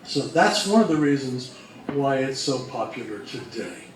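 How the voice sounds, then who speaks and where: A man giving an informal explanatory presentation to a room, speaking emphatically in short, measured phrases. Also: mid-range voice, lively intonation. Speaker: co-presenter at an old-time radio convention talk. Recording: echoey, distant, faintly noisy live recording.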